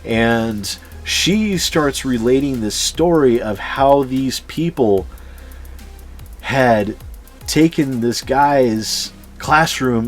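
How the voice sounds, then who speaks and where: A man telling personal anecdotes casually as a channel host, swearing freely and chuckling as he goes. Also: medium pitch. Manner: sweary, chuckles through his stories. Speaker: YouTube storyteller and channel host.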